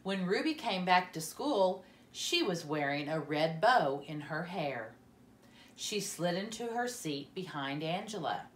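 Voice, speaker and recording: Female picture-book narrator, lowish pitch, deliberate and animated, echoey room recording. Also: slight background noise. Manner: expressive, deliberate, softening on dialogue tags. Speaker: storyteller. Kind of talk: children's storybook read-aloud.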